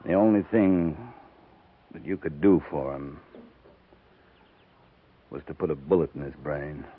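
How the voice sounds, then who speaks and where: An actor playing a grave, commanding cavalry captain in a 1950s radio drama. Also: male voice, a bass voice, unhurried, breathy edge, heavily acted. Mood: somber, reflective. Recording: lo-fi 1950s radio broadcast, noisy.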